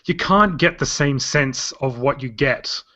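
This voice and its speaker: A man's voice: medium pitch, measured pace, pushed and bright. A man in his thirties with an Australian accent, chatting on a podcast in a rambling way that often restarts sentences. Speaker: podcast co-host. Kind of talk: casual chat. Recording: dull lo-fi podcast audio.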